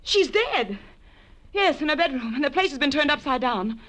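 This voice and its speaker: An actress playing a cool, teasing femme fatale in a 1940s radio crime drama. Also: female voice, high-pitched, expressive, normal speed, a bit bright. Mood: urgent, hard. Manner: coy, self-possessed, teasing. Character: sultry, cool, gun-toting femme fatale.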